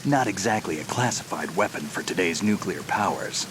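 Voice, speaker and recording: An actor playing a wary, terse special-operations veteran in a video game, with a gruff, gravelly voice. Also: male voice, low-pitched, lively intonation, moderate pace. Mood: dry, guarded. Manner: terse, dry, guarded. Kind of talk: video game cutscene acting. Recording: heavy noise of rain and vehicles.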